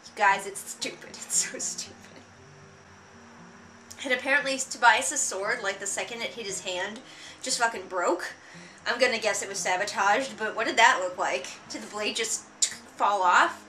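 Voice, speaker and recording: A woman's voice: medium pitch, normal speed, pushed and bright, slight background noise, poor audio. A woman giving casual, sarcastic commentary, with an exasperated, mocking and emphatic way of talking. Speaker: book reviewer and vlogger.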